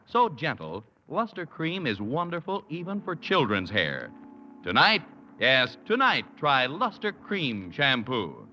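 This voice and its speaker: A man's voice: mid-range voice, wildly swooping pitch, unhurried, sharp tone, lo-fi sound, a little hiss or hum. A man announcing and reading commercials for a 1950s network radio comedy in a projecting, salesy manner.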